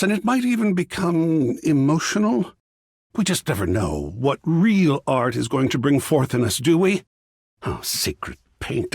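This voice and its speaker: Whimsical artsy game character, super dramatic and grandiose, croaky rasp, takes his time, philosophical. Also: fairly high pitch, no echo, clean studio sound, full character voice. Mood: excited, theatrical. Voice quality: raspy, gravelly. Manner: grandiose, florid, savoring words with dramatic swoops. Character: flamboyant, whimsical living embodiment of art, effusive and philosophical. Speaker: voice actor in a video game. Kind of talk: theatrical video game character dialogue.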